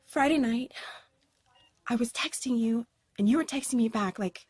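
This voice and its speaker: An anxious, apologetic young woman stumbles and restarts as she talks in an audio drama. Her voice is fairly high, and the recording is close and dry. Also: a little mellow, slightly rough, no echo. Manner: halting, apologetic rambling. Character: nervous, rambling young woman friend.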